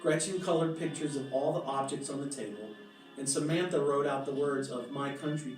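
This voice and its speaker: A man reading a picture book aloud in a measured, expressive storytelling manner, with deliberate pauses. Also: fairly high pitch. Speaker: storybook reader. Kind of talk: scripted picture-book narration read aloud. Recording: roomy echo, distant mic, music behind. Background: music.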